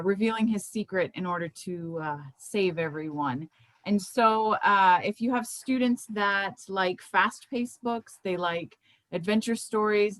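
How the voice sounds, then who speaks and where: A woman's voice: mid-range voice, dry recording. A middle-aged American woman speaking in a conversational, informal way, as an author presenting her books. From Miami, United States.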